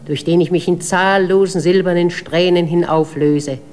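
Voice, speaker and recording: An actress playing a proud, wild river spirit, reciting a lyrical, solemn monologue in a poetic radio play. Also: female voice, fairly low pitch, fairly even intonation, moderate pace, darkish tone, close to an everyday voice. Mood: solemn, flowing. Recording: noisy recording with ambient sound.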